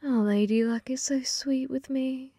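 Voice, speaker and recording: A woman voicing a flirty, possessive female demon gambler in an ASMR roleplay, breathy and often whispering, teasing with sweet menace. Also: high-pitched, steady tone, warm tone, dry recording, studio quality. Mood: smug, flirty. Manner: slow, teasing, calls listener love. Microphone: close microphone, dry.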